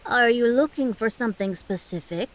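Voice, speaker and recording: A woman's voice: on the high side, moderate pace, lightly acted. An actress playing a polite, inquisitive older halfling merchant woman who muses as she talks, in a fantasy audio drama. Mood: businesslike.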